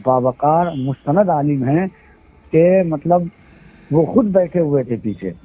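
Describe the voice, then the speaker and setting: A man with a husky, somewhat high voice explains himself at length, citing religious sayings in his own defense. The sound is a thin, tinny phone call recording. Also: expressive, takes it slowly, soft background music. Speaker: event organizer on a phone call. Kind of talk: heated phone conversation.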